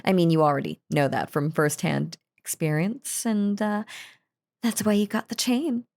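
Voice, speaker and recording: A woman's voice: on the low side, fairly even intonation, moderate pace, darkish tone, close to an everyday voice. A woman playing a possessive, lovestruck yandere wife in an intimate audio roleplay, talking in a doting, gushing, cheerfully unhinged way. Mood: affectionate, spoiling. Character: lovestruck possessive yandere wife, sweetly menacing crazy stalker. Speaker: voice actor.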